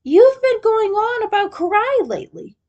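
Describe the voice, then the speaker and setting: A woman's voice: extremely high pitch, big pitch swings, normal speed, a little mellow, highs cut off, pitched above the performer's own voice. A woman playing a dry, matter-of-fact teenage male turtle in a fan story. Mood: exasperated.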